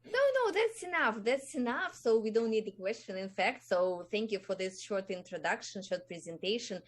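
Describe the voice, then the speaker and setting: A woman speaks as the host of an online meeting, courteous and a little hesitant. She fills her pauses with small sounds. The voice comes through a video call. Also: mid-range voice, animated. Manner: polite, hesitant with fillers. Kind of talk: casual chat.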